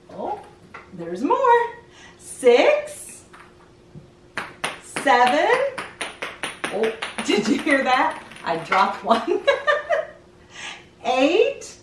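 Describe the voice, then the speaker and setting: Slow, very animated woman hosting for children. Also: sits high, a little hiss or hum. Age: middle-aged. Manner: animated, sing-song, asking the listener questions. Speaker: children's read-aloud host. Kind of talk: playful counting activity for young children. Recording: roomy echo, distant mic, music behind.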